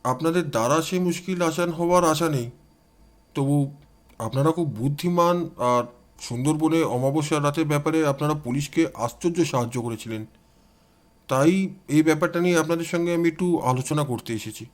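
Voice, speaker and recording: A man acts out a police inspector in an audio story, courteous and troubled. He explains matters politely in a fairly high male voice. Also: moderate pace, lightly acted. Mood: apologetic.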